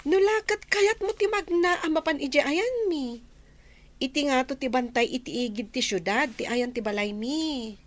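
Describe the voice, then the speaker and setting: Actress as a high-voiced, welcoming young woman. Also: moderate pace, lightly acted. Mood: friendly, inviting. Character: friendly young woman co-worker, welcoming and modest. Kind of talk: Ilocano audio drama acting.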